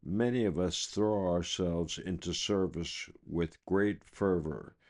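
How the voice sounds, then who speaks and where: A man reading a daily recovery meditation aloud in a measured, deliberate way. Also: deep voice, a little mellow. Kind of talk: slow read-aloud meditation.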